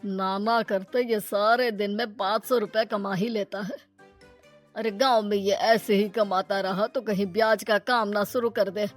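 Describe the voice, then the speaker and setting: A woman voicing a greedy, scheming middle-aged moneylender in an animated moral story, sly and brusque. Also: mid-range voice, unhurried, soft background music, natural voice.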